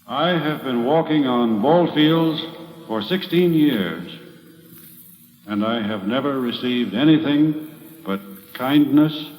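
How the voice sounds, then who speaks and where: An actor playing a humble ballplayer giving a slow, earnest farewell speech, in 1940s radio acting style. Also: male voice, mid-range voice, darkish tone, natural voice. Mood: solemn. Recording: echoey stadium with long reverb.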